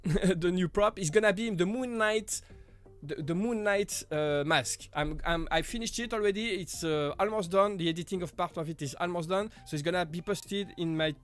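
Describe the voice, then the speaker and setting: High-voiced man chatting on a live stream. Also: smooth, faint music behind. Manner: chatty, halting, with frequent small laughs and "you know". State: voice deepened while getting over an illness. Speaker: live stream host answering viewer questions. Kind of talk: casual live Q&A chat.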